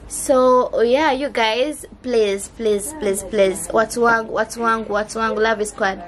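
A young woman from Kenya with a Kenyan accent, chatting to camera in a casual vlog, hoarse with a sore throat and switching between English and Swahili. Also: a little high, fairly even intonation, unhurried. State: hoarse, losing her voice. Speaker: vlogger. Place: indoors. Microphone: camera mic held close.

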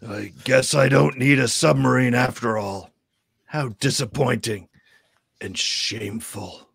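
A man playing a brash, theatrical slug crime lord in a comic table read, with gravelly, husky, drawn-out delivery. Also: mid-range voice, fairly bright tone, dry recording, studio quality, full character voice. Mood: glum. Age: middle-aged.

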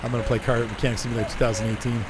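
A hoarse man chatting casually as he streams a driving game, rambling and answering his chat. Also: medium pitch, level tone, rapid-fire. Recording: noisy game sound behind.

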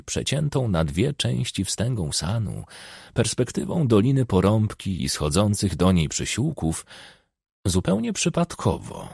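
A man's voice: lowish voice, creaky, darkish tone, husky, no echo, clean studio sound. A man reading a scripted novel as an audiobook narrator, in a literary storytelling style.

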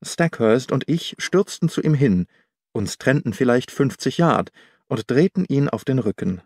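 A man's voice: mid-range voice, expressive, unhurried, darkish tone, dry recording. Male audiobook narrator, dry close sound. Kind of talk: scripted literary narration, first-person storytelling.

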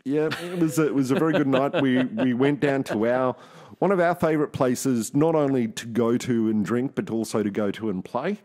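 Middle-aged Australian male co-host, mid pitch, chatty, repeats himself, laughs easily. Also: normal speed, darkish tone. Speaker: podcast co-host. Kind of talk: casual chat.

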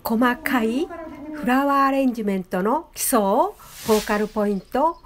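A woman, presenting a vlog politely and in an animated, sing-song way. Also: fairly high pitch, moderate pace, a crowd in the background. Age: 70s. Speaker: host, flower designer. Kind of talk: vlog narration to viewers.

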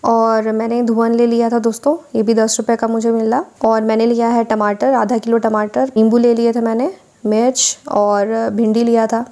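A woman's voice: on the high side, little pitch movement, moderate pace, warm tone. A woman vlogger narrating a voice-over tour of a weekly market, listing goods and prices for her viewers.

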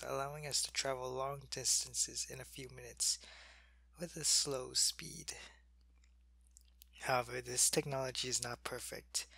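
A man playing a sick, gravelly alien soldier. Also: medium pitch, no room sound. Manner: soft-spoken, drifting into whispers. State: sick and weak. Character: sick male alien soldier, weak but dutiful. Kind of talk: ASMR roleplay.

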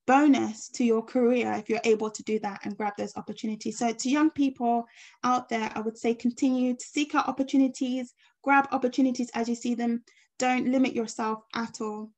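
A woman speaking as a guest on an online panel over a video call, pausing often with ums. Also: a little high, fairly even intonation. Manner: hesitant, many ums.

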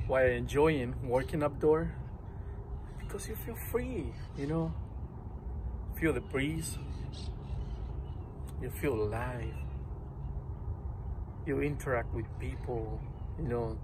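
A man's voice: mid-range voice, expressive, slow, pauses often, noisy background. A man in his thirties who runs a lawn care business, chatting casually to his phone camera while sitting on the grass in a city park, rambling with lots of fillers. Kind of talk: casual vlog talking to camera. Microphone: phone camera at arm's length.

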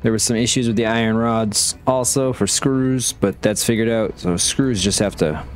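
A man's voice: lowish voice, moderate pace, soft background music. A man casually commenting on his factory-building game as he plays, thinking aloud as he checks production. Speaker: gaming channel host.

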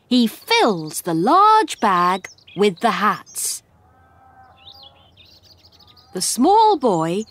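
A woman narrating a simple scripted story for English learners in a deliberate, expressive way. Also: medium pitch.

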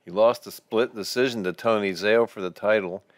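An older man giving calm, informative voice-over narration. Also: fairly low pitch, brisk, dry recording, studio quality.

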